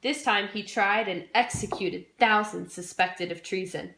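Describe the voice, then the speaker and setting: A woman's voice: medium pitch, unhurried, creaky, pushed and bright, slight background noise. A young woman, a student presenter, delivering a scripted history skit in an informal, jokey way. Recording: roomy, echoey room sound.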